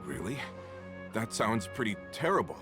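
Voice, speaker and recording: A man's voice: fairly low pitch, very animated, moderate pace. An actor playing a world-weary, plain-spoken middle-aged carpenter and family man in a video game. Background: faint background music.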